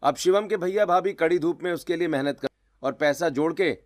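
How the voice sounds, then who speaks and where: A man's voice: fairly high pitch, a little fast. A man reading scripted narration of a family story as the storyteller.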